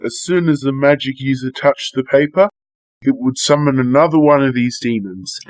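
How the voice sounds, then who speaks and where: A man's voice: mid-range voice, moderate pace, no echo, lightly acted, rough recording. A man playing a matter-of-fact police detective giving a briefing in a radio play. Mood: grave, confiding.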